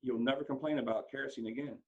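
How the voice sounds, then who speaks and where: A man's voice: medium pitch, steady tone, fast, breathy edge, dull audio. A man voicing an admiring village woman in a story read aloud, earnest in her praise.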